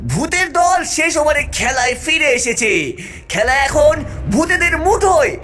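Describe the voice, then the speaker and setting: Woman acting cartoon sports commentator, excitable, high and bright, very animated, music behind. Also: measured pace. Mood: excited.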